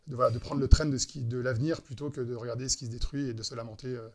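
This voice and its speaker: A man in his thirties from France, a guest on a relaxed podcast. He speaks hesitantly, full of pauses, fillers and restarts. His voice sits at an ordinary middle pitch. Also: crowd noise. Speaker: entrepreneur guest. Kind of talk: casual podcast interview.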